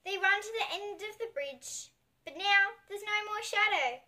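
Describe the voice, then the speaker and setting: A woman's voice: extremely high pitch, unhurried, pushed and bright. A young girl reading a picture book aloud expressively, with chatty asides to listeners. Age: child. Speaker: young host reading picture books for kids. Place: small indoor room with bookshelves.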